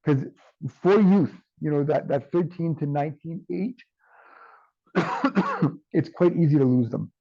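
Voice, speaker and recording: A man teaching an online class with a tired, strained voice, often checking in with "right?" and filling pauses with um. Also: on the high side, expressive, mellow, no echo, dull audio. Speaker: instructor.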